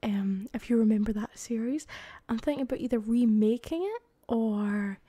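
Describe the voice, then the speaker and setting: A British woman speaking casually in a soft half-whisper, as an ASMR creator addressing her audience. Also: a little high, normal speed, dry recording.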